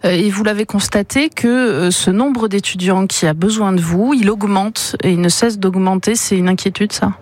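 A woman's voice: medium pitch, moderate pace, few pauses, no echo. Woman hosting a radio interview. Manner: lively, jokey, rephrases and sums up the guest's answers. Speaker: radio host. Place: radio studio. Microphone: studio microphone.

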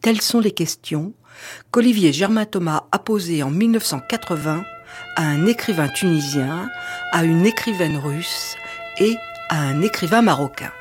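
A woman's voice: lowish voice, animated, normal speed, soft background music. A woman presenting a radio archive program, reading a formal scripted introduction. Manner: clear, formal, reading questions aloud.

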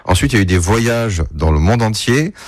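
Low-voiced radio interviewer, polite. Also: male voice, lively intonation, normal speed, no room sound, studio quality. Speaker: radio reporter.

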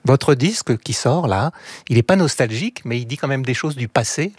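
A man hosting a radio interview, asking measured, probing questions. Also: medium pitch, expressive, husky, dry recording. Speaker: interviewer, host.

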